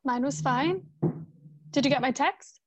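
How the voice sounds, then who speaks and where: A woman's voice: high-pitched, a little slow. A woman playing a hurt, resentful wife in her thirties in a radio drama, with a clipped, restrained delivery. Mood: strained politeness. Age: 30s.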